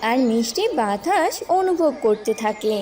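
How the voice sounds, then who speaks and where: A woman's voice: on the high side, animated, normal speed, dark tone, recorded from a distance. A woman reading scripted narration for a cartoon story.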